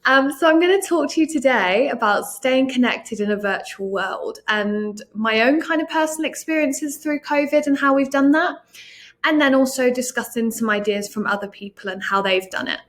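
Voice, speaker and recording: A woman's voice: high-pitched, lively intonation, moderate pace, a bit bright. A woman in her thirties with a British accent, giving a recorded presentation to teachers in a chatty, encouraging way, often saying "um" and "kind of". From United Kingdom. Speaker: teacher trainer and academic coordinator.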